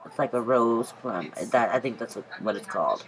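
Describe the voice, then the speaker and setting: A woman with a very low voice, congested from a cold, narrates her makeup routine. She rambles casually, pausing on ums and restarting her sentences. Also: poor audio. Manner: chatty, rambling, many ums and self-corrections. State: stuffy from a cold. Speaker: beauty vlogger. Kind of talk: casual makeup tutorial voice-over.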